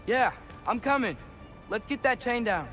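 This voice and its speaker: A man's voice: very high-pitched, lively intonation, normal speed. A man voicing a plucky, wry young male apprentice in a video game, with music behind. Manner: wry, dry, self-talking. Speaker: game character. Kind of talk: video game cutscene acting.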